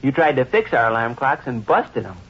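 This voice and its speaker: An actor in an old-time radio comedy playing a shrewd, good-natured teenage son with folksy, slangy, suspicious talk. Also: male voice, mid-range voice, sing-song, fairly quick, a bit bright. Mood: knowing, teasing. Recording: dull, muffled old radio recording.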